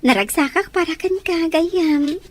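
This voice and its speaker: A woman's voice: very high voice, takes it slowly. An actress playing a playful, nosy young woman with a teasing, sing-song manner in an Ilocano radio drama.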